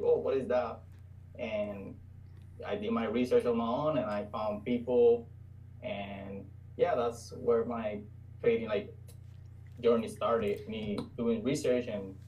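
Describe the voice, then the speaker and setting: Young man, hesitant, mellow voice, distant mic. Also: lowish voice, slight background noise. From Puerto Rico. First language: Spanish. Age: 20s. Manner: hesitant, searching for words. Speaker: guest trader. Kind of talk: casual interview.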